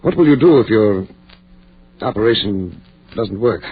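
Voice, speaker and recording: An actor playing a troubled, loyal doctor friend, hesitant and solemn, in a vintage radio horror drama. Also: male voice, lowish voice, animated, a little slow, husky, exaggerated voice. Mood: reluctant doubt. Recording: muffled old radio recording.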